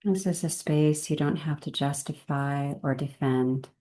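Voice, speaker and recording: A woman leading a guided meditation in a gentle, unhurried way, with measured phrases and long pauses. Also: low-pitched, fairly even intonation.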